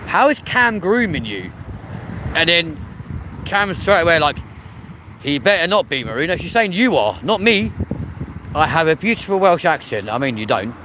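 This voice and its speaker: A man's voice: fairly high pitch, sing-song, normal speed, sharp tone, slight background noise, rough recording. A young man with a London accent, chatting casually as the host of a live stream outdoors in a city, joking and reading out comments. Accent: London, with a mix of areas. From South West London, England. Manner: chatty and jokey, reads chat comments aloud.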